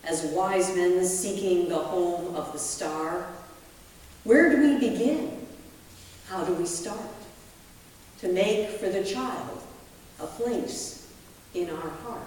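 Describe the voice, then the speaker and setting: A woman pastor reading a poem aloud in a deliberate, measured way with dramatic pauses, recorded in a large reverberant hall. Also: on the low side, a little mellow, a little hiss or hum. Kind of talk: poetry reading from the pulpit. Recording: very echoey hall, distant microphone.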